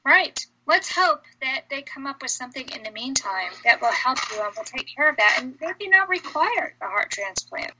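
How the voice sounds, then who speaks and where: A woman hosting an interview podcast, asking questions in a warm, encouraging way and laughing easily. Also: high-pitched, sing-song, normal speed, hardly any pauses, low-quality recording. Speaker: podcast host.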